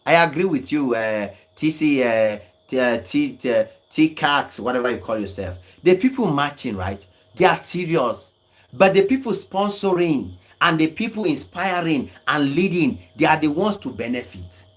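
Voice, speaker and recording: A man's voice: a little high, animated, normal speed. A young man, talking on a livestream and arguing back at viewer comments with emphatic, scolding insistence and frequent laughs. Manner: argumentative, emphatic, laughs often. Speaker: online commentator.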